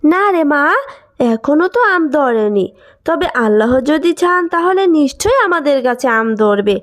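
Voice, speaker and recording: A woman's voice: high voice, animated, moderate pace. A woman voicing a kind, patient young village widow and mother in a cartoon moral story, speaking earnestly. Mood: motherly. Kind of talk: animated moral story acting.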